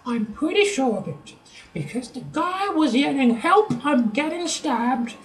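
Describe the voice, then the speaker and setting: A woman's voice: high voice, lively intonation, a little slow. A woman playing Greta, a fussy, dramatic elderly English lady with a put-on posh manner in a comedy sketch. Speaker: sketch presenter.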